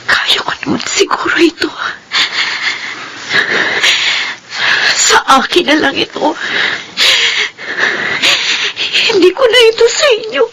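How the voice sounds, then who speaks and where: Filipino teen daughter, radio drama. Very high-pitched, anxious, raw feeling, noisy recording. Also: female voice, very animated, a little fast. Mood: dejected, tearful. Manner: emotional, hesitant, tearful. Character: distressed teenage schoolgirl, anxious daughter and twin sister. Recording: clearly noisy background.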